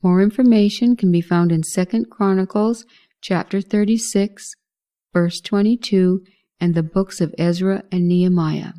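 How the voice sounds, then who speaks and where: A woman's voice: medium pitch, fairly even intonation, a little slow, warm tone. A woman reading a scripted narration of Bible history as a narrator.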